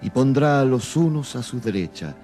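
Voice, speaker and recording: An actor playing a solemn, prophetic gospel voice in a radio drama, declaiming scripture. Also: male voice, medium pitch, animated, normal speed, mellow, soft background music, natural voice. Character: solemn male gospel voice foretelling final judgment.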